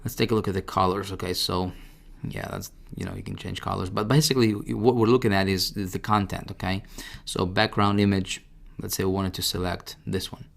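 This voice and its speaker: Man, fairly low voice, informal tutorial presenter, conversational screen-share walkthrough, frequent check-ins with the listener. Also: steady tone, fairly quick, creaky, few pauses.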